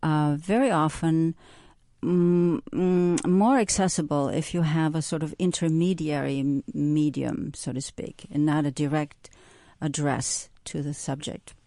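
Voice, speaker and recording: A woman's voice: low-pitched, fairly even intonation, unhurried, mellow, no room sound. A woman, a visual artist, answering interview questions thoughtfully, hesitating and searching for words. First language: German.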